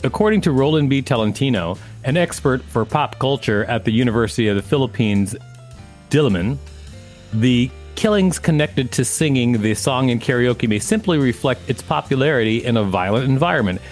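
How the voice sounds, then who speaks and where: A man's voice: mid-range voice, lively intonation, brisk, faint music behind. A man co-hosting a podcast, reading an article aloud and adding casual asides. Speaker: podcast co-host.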